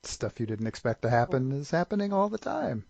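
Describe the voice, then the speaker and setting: A man's voice: mid-range voice, sing-song, fairly quick, vocal fry, a little mellow. A man hosting a casual interview with informal, easygoing, joking remarks. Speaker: interview host. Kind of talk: casual chat. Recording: roomy, dull lo-fi audio.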